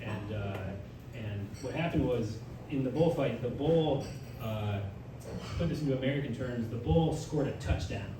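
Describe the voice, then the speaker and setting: A young man performing a stand-up comedy set, rambling and self-mocking, with loud punchlines and audience laughter. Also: mid-range voice, moderate pace, distant mic. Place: comedy club stage. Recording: echoey room taped from afar, noisy.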